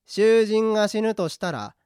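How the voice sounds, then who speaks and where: A man playing a rough, taunting young-sounding prison guard in a radio drama. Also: high-pitched, normal speed, a little mellow, dry recording, studio quality, lightly acted. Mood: mocking, threatening. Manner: brash, slangy, taunting. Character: rough, mocking male prison guard, secretly soft-hearted. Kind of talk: amateur radio drama acting.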